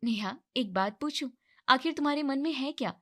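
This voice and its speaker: A woman voicing a gentle, polite young daughter-in-law who reasons calmly in a cartoon moral story. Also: sits high, brisk, exaggerated voice.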